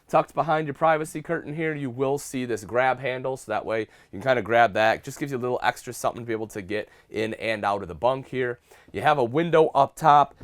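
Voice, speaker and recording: A congested man with a head cold, a product specialist giving a friendly walkthrough tour inside a travel trailer and calling his viewers folks. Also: mid-range voice.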